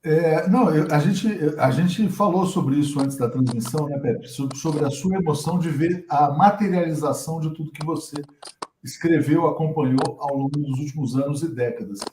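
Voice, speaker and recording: Mellow-voiced host in an echoey room. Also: male voice, fairly high pitch, normal speed, slight background noise.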